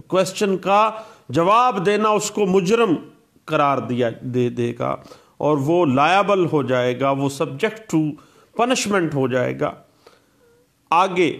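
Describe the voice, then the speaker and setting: A man giving a law lecture, explaining a statute slowly and deliberately and repeating key points with English legal terms mixed in. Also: on the high side.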